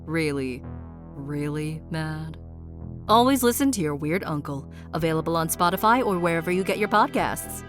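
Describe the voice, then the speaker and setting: Lowish female ad announcer over synth music. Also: animated, moderate pace. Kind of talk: scripted comic podcast ad. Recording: faint synth music behind voice.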